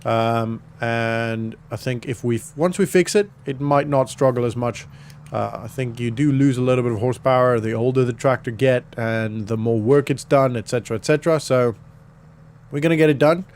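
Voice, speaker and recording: A man with a croaky voice giving relaxed, rambling commentary on a farming game, with many fillers. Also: medium pitch, moderate pace, a little hiss or hum. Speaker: gaming YouTuber. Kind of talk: casual gameplay commentary.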